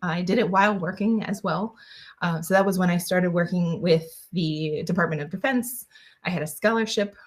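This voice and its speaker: Lowish-voiced woman guest, casual and rambling, heavy on fillers. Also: moderate pace. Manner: chatty, many fillers and restarts. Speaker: guest, engineer. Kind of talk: casual interview answers.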